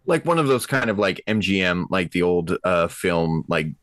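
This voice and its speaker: A man's voice: sits low, sing-song, normal speed, fairly bright tone, no echo, clean studio sound. A man casually describing his moves as a tabletop roleplaying player, in a slangy, informal way. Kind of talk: casual in-game action description.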